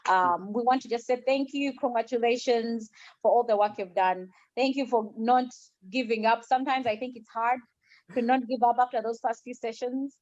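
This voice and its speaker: Female host for a conversational interview, higher-pitched, encouraging, repeats words for emphasis, muffled dry lo-fi sound. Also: normal speed, a little mellow, no room sound.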